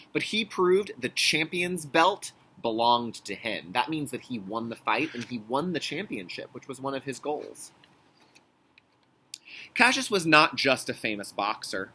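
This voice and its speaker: A man's voice: medium pitch, poor audio. A man teaching young children in a read-aloud lesson, reading expressively and explaining things in simple, instructional phrases. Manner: clear instructional phrasing with check-in questions; expressive, emphatic storybook reading. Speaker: kindergarten teacher.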